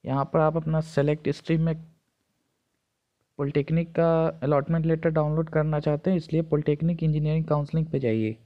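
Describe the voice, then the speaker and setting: A man's voice: fairly high pitch, fairly even intonation, a little fast, highs cut off. A man narrating an informal step-by-step tutorial, instructing and repeating each step, recorded on a phone. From India. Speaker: tutorial presenter.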